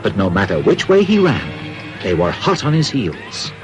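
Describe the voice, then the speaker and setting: A man narrating a classic cartoon fairy tale in an expressive, scripted storybook style. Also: lowish voice, moderate pace, music in the background. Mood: dramatic.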